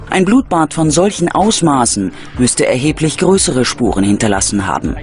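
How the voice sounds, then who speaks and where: A man narrating a scripted true-crime documentary in a dramatic, measured delivery. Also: mid-range voice. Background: background music.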